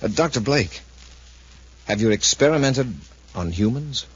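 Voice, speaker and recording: An actor playing an authoritative, probing space commander in a 1950s radio drama. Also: male voice, mid-range voice, animated, a little slow, slightly rough. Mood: probing, measured. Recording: dull 1950s radio recording.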